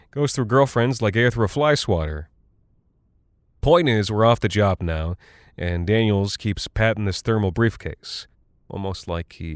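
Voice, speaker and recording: Low-voiced, weary, rattled military pilot. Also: male voice, dry recording, studio quality. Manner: halting, rambling, conversational, apologetic. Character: weary, rattled Cold War pilot confessing to his wife. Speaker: audio drama actor. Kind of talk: horror audio drama monologue.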